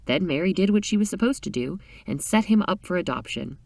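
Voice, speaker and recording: A woman reading a short story aloud in an expressive, dramatic storytelling style. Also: on the low side, brisk, vocal fry, dry recording, recorded from a distance. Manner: animated, dramatic reading with character voices.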